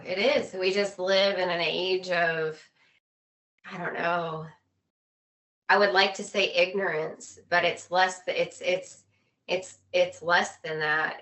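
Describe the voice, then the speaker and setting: A woman's voice: on the low side, a bit bright. A woman talking casually and earnestly in an opinionated conversation, often repeating phrases for emphasis.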